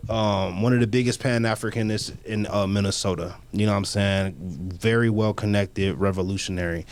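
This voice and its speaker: A man's voice: on the low side, steady tone, a little fast, clear, resonant tone. Blunt, heated man on a panel. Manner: blunt, heated, repeats himself, drops into slang. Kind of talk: casual panel discussion. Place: community media studio.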